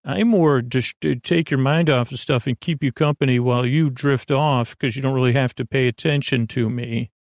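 Male sleep-podcast host, heavy vocal fry, dry close sound, wandering and halting delivery that loops back on itself. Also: mid-range voice, steady tone, no room sound. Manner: meandering, halting, repeats and trails off. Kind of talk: rambling bedtime monologue.

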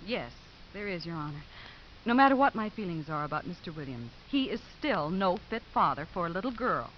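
A woman's voice: lowish voice, big pitch swings, brisk. A woman playing an earnest, conscientious probation officer in a 1950s radio drama, with careful diction. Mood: earnest, pleading. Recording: hissy muffled 1950s radio recording.

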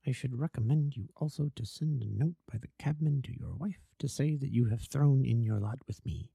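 A man reading a story aloud, voicing a calm, masterful detective who speaks in a precise, definite way. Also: lowish voice, moderate pace, no room sound, natural voice. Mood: hushed.

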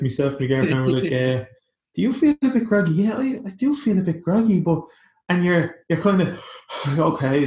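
A man's voice: on the high side, darkish tone, reverberant. A young adult Irish man co-hosting a podcast in casual chat, laughing easily. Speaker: podcast co-host.

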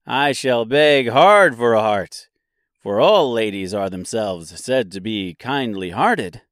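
A man's voice: mid-range voice, expressive, slow, a bit bright, no room sound, studio quality. A man voicing a polite tin woodman in a storybook, earnest, hopeful and pleading.

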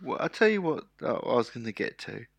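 A man's voice: mid-range voice, big pitch swings, a little fast, gravelly, no echo. A man chatting casually about football, sweary and fond of taking the mickey. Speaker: podcast panellist.

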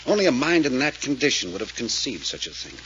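An actor playing a commanding detective who explains a case emphatically, in the style of a 1940s radio serial. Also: male voice, medium pitch, big pitch swings, fast talker, a bit bright. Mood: intent, explaining. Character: brisk, commanding male detective explaining a murder. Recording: muffled, hissy old radio recording.

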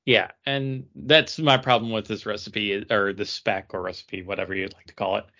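A man's voice: lowish voice, fairly even intonation, moderate pace, sharp tone, dull audio. A man co-hosting a podcast, chatting casually and explaining things in detail. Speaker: podcast co-host. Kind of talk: casual podcast chat about cocktails.

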